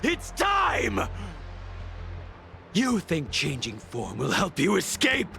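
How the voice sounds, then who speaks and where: An actor playing an unhinged, vengeful swordsman in a video game, menacing and halting, moving between whispers and shouts. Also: high-pitched, wildly swooping pitch, faint music behind, full character voice. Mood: manic fury. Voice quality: gravelly, breathy whispers breaking into shouts. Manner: menacing, halting, theatrical delivery. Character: vengeful, unhinged swordsman hungry to punish an old sin. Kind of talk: dramatic video game voice acting.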